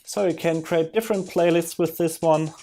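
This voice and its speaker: Man casually demoing software, music behind. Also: on the high side, steady tone. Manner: halting, thinking aloud as he goes. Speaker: software demonstrator. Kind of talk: casual screen demo narration. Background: music from audio players being demonstrated.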